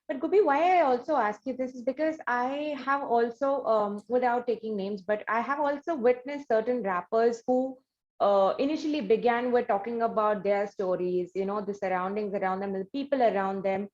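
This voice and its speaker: A woman with an Indian accent hosting an interview in a conversational way, building her questions in measured stages. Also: a little high, a little mellow, lo-fi sound. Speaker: interview host.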